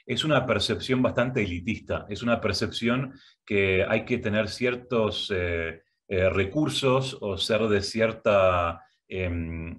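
A middle-aged man with an Argentine Spanish accent, giving a prerecorded video talk from a home office. He hesitates often, with many filler sounds and restarts. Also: on the low side. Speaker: guest speaker, arts education director. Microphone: webcam, head and shoulders framing.

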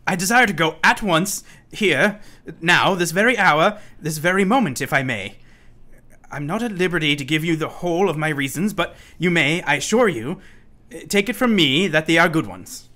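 An actor playing an unsettled, pleading asylum inmate in a radio play, speaking formally and urgently. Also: male voice, fairly high pitch, lively intonation, moderate pace, pushed and bright. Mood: desperate pleading. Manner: formal, urgent, given to sudden chuckles.

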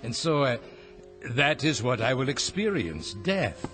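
An actor playing a weary, anxious older husband in a radio drama, speaking in a halting, searching way. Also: male voice, mid-range voice, big pitch swings, fairly bright tone, faint music behind. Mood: weary, pondering. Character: weary, anxious old husband longing for relief.

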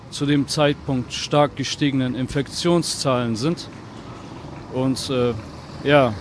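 Slow-speaking man on a street. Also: medium pitch. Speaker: interviewed passer-by. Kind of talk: careful, halting interview answer. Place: beside a road outdoors. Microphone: interviewer's handheld microphone. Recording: street and car noise behind.

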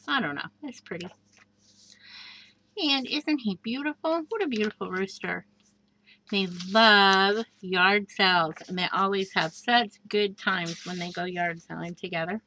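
A woman casually showing a handmade journal page by page, chatty and gushing, with soft asides and plenty of fillers. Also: medium pitch, a little hiss or hum, low-quality recording. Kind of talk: casual show-and-tell craft walkthrough. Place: indoors at a craft table. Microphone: overhead camera above a craft table.

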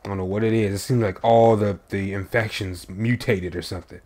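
A man's voice: on the low side, steady tone, brisk, darkish tone. Nasal man with a head cold. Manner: chatty, talks to viewers while playing. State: congested, recovering from a cold. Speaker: gaming channel host. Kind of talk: casual gameplay commentary.

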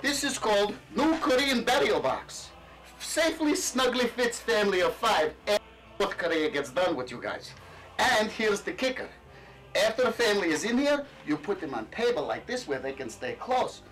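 A boastful, middle-aged Russian ex-KGB peddler, as a man puts him on, hawking his wares in broken English with a thick Russian accent. The voice sits high and swings widely up and down. It sounds a little distant from the mic, with faint music underneath. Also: moderate pace. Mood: pitching. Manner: broken English, salesman patter, deadpan crude jokes.

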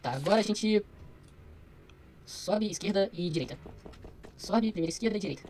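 A man's voice: high-pitched, expressive, normal speed, a little mellow, slight background noise. A young Brazilian man giving casual, slangy let's play commentary, muttering to himself as he goes. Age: young adult. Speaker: gaming streamer.

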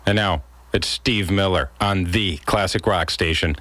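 A man's voice: low-pitched, unhurried, bright, forceful tone, dry recording. A man working as a radio DJ, talking in playful classic rock patter and bantering with callers. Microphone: radio studio microphone. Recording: music behind the voice. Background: rock music.

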